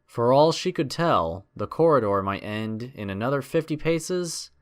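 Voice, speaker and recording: A male narrator reads an adventure story aloud in a dramatic, scripted style. His voice sits at a medium pitch and moves up and down with lively intonation. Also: unhurried.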